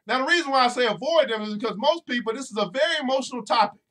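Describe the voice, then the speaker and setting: A man's voice: very high-pitched, animated, rapid-fire, sharp tone. A man hosting a motivational podcast, preaching to his listeners directly and repeating phrases over and over for emphasis. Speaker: financial educator and podcast host.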